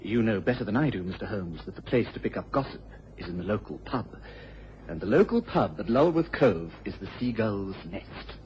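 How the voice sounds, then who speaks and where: Actor as earnest young English inspector, lively intonation. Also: male voice, on the low side, normal speed, lightly acted. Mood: grave, respectful. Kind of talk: radio drama acting. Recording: dull vintage radio recording, faint noise.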